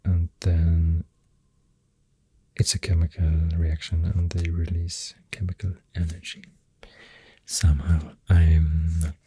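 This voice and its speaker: Breathy, very low Swedish-accented ASMR voice. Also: level tone, slow. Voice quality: soft, breathy, whispery. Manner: hushed, hesitant, gentle rambling delivery drifting into whispers. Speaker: ASMR artist, host. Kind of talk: soft-spoken ASMR, rambling facts while drawing. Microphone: close ASMR microphone. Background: pencil writing and clicking sounds.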